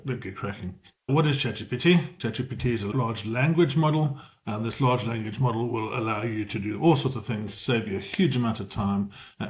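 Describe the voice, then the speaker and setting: A middle-aged man with a South African accent, casually walking viewers through a tutorial and correcting himself as he goes. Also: lowish voice. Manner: informal, explanatory, self-correcting as he talks. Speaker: tutorial presenter. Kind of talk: casual screen-share tutorial.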